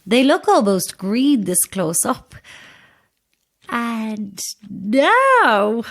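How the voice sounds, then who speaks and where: A woman's voice: on the high side, big pitch swings, drawn-out words, fairly bright tone, heavily acted. A woman playing a nervous, polite make-up saleswoman in an audio drama, with flustered manners and flowery patter. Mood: grand sales patter. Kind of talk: audio horror drama acting.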